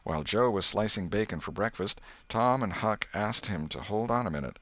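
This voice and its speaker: A man with a fairly low voice narrates a literary bedtime story. His delivery is measured and scripted, in the manner of an audiobook reader. The recording is dry, with very little room sound. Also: animated, a bit bright, no echo.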